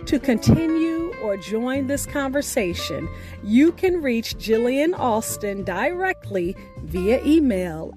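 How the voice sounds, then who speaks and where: A woman with a notably high voice hosts a podcast over soft music. She takes her time, stretching key words for emphasis. Her delivery is expressive and deliberate, like a prepared reading. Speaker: podcast host. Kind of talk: scripted podcast sign-off with a recited poem.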